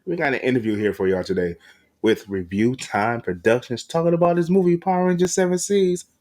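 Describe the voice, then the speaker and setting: A man's voice: medium pitch, fairly quick, a little mellow. A man hosting a podcast interview, chatting casually with slangy, informal phrasing. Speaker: podcast host.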